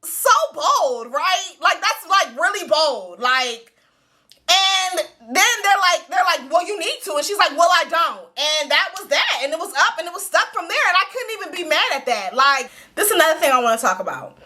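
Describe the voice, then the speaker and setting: A woman hosting her own show, giving blunt, animated, profanity-laced commentary on a reality series. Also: very high-pitched, moderate pace.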